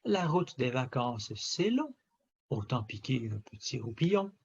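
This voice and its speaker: A man reading a children's story aloud in an expressive, theatrical way with emphatic phrasing. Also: medium pitch, measured pace, gravelly, slightly rough, highs cut off. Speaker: storybook reader.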